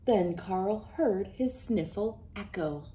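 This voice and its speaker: A woman in her thirties narrates a picture book for young children. She takes her time, shaping each phrase expressively, with a lively rise and fall in pitch. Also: a little hiss or hum. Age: 30s. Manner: expressive, deliberate storytelling delivery. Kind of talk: read-aloud storybook narration. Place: small wood-panelled room indoors.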